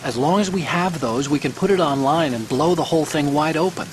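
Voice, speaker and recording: An actor playing a young, fussy male computer hacker who talks quickly and a bit nervously while guiding a soldier. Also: fairly high pitch, a little hiss or hum, close to an everyday voice. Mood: urging. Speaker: mission support. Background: music and rain.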